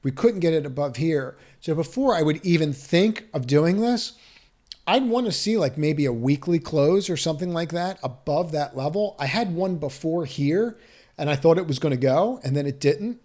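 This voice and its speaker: A man's voice: fairly high pitch, animated, moderate pace, a little mellow. A man walking through stock charts on a screen share, thinking aloud with cautious advice, restarts and rhetorical questions. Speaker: stock market analyst.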